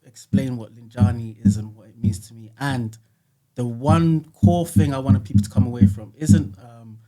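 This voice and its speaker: A man's voice: medium pitch, normal speed, warm tone. A man talking earnestly and reflectively as a podcast guest, often repeating words as he thinks. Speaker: music artist guest. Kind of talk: casual podcast conversation. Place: podcast studio.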